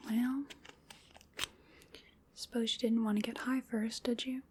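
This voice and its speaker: A whispering woman acting as a female vampire, unhurried and fairly high in pitch. She is playful and darkly doting, coaxing her prey with pet names in an ASMR roleplay. Noticeable noise sits behind the voice. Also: flat delivery, lightly acted. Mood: musing, indulgent.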